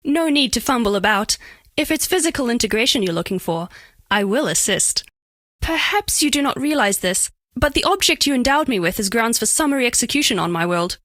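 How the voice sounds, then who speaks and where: A woman's voice: fairly high pitch, lively intonation, a little fast, a bit bright, no echo, studio quality. A woman voicing a curious female alien crystal being for a dating game, speaking formally with stilted, precise, clinical phrasing and awkward flirtation. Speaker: video game voice actress. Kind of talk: scripted dating-game character voice lines.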